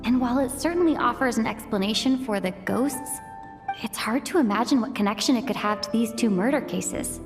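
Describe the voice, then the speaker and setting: A woman's voice: fairly high pitch, a little fast, over music, lightly acted. An actress playing a cool-headed, skeptical young woman in a video game, musing nonchalantly, with long reverb on the voice. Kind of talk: anime-style video game cutscene acting.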